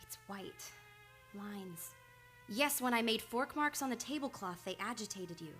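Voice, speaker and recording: A woman's voice: fairly high pitch, animated, unhurried, a bit bright, faint music behind. A woman playing an earnest, devoted analyst in a radio drama, insistent and pleading in urgent, emphatic phrases. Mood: insistent, probing. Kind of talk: old-time radio drama acting.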